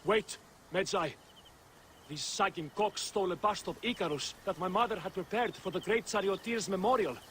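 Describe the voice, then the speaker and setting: A man's voice: high-pitched, moderate pace, fairly bright tone, a little hiss or hum. An actor playing an earnest young son concerned for his family's honour, speaking dramatically in a game cutscene over faint ambience. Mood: pleading. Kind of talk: video game cutscene acting.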